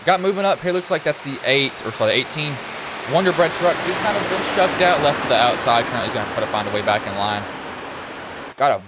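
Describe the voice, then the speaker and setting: A young man with a slightly deep voice commentating a sim truck race live, casual and rambling, getting excited when cars wreck. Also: fast talker, noisy background. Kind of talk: live sim racing play-by-play.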